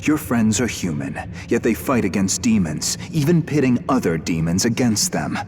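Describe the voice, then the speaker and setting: A man's voice: on the low side, very animated, normal speed, a little hiss or hum. A man playing a ruthless, zealous human warrior in a video game, contemptuous and commanding, with music behind him. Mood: smug conviction. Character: ruthless, zealous human man who despises demons and weakness. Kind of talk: dramatic video game voice acting. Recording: loud game music underneath.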